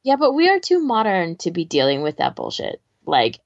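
A woman's voice: on the low side, wildly swooping pitch, normal speed, vocal fry. A woman co-hosting a podcast in a casual, conversational way, on slightly lo-fi audio. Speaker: podcast co-host. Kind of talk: casual chat.

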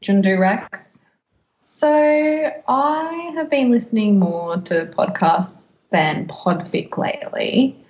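A woman's voice: fairly high pitch, lively intonation, slow, darkish tone, a little hiss or hum. A woman co-hosting a casual podcast, chatting in a playful, teasing way. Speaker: podcast host. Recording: dull, roomy, echoey sound.

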